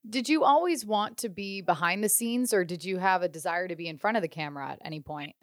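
A woman's voice: fairly low pitch, fast talker, dry recording, studio quality. A woman hosting a hockey podcast interview, asking upbeat, friendly questions. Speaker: podcast host.

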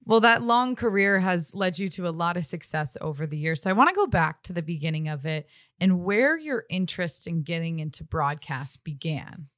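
A woman's voice: on the low side, lively intonation, moderate pace, a bit bright, dry recording. Female sports host, upbeat interviewer, close and dry studio sound.

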